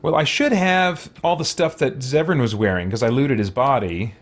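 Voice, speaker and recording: A man's voice: medium pitch, moderate pace. A man giving casual gameplay commentary as a let's play host, thinking aloud and muttering to himself as he goes.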